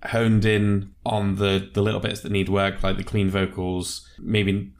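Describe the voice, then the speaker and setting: A man co-hosting a podcast, giving relaxed, thoughtful opinions on a new rock track. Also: on the low side, measured pace. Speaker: podcast co-host. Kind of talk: casual music review chat.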